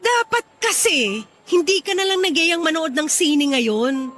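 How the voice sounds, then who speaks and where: A woman's voice: very high voice, expressive, unhurried, a bit bright, heavily acted. A woman playing an irritable, fed-up young girlfriend in a radio drama, sulky, scolding and sarcastic. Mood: irritated, scolding.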